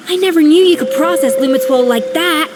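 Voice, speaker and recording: Game chef, measured and curious, a fairly high voice, acted by a woman. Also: heavy background noise, natural voice. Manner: inquisitive, measured.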